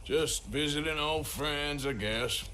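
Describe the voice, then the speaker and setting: An actor playing a gruff, sardonic American outlaw with a Western drawl and a dry, laconic manner in a video game. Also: male voice, on the high side, slow pace, crowd noise. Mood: dry, guarded. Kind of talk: dramatic video game cutscene acting.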